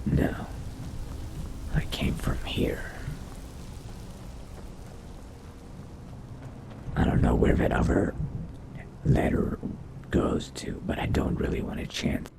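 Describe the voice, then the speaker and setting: A man voicing a brooding, studious vampire mage in a video game roleplay, musing quietly to himself in a whispery, gravelly voice. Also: lightly acted. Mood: musing, detached. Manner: slow, measured, musing to himself. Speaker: roleplay gamer. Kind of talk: in-character game roleplay narration.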